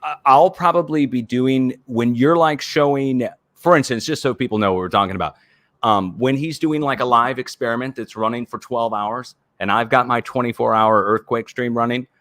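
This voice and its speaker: A man's voice: medium pitch, normal speed, a bit bright, no room sound. Rambling man in his forties on a call. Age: 40s. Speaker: earthquake livestreamer. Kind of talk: casual video call chat.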